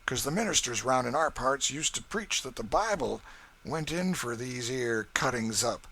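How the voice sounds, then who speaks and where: A man voicing a big, gruff, honest old Kentucky farmer, talking louder and slower in a drawl. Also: mid-range voice, lively intonation, pitched above the performer's own voice. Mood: pitying, earnest.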